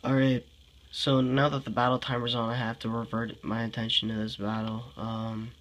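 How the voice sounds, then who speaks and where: A man's voice: medium pitch, little pitch movement, normal speed, fairly bright tone, a little hiss or hum, low-quality recording. A young man with a congested voice giving casual game commentary, thinking aloud, sighing often and sounding frustrated. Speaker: gameplay commentator.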